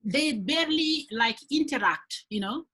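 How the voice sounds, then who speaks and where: A woman from Senegal, a high-school teacher, speaking earnestly in a panel discussion and often repeating words as she builds a point. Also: expressive, a little slow, bright, forceful tone.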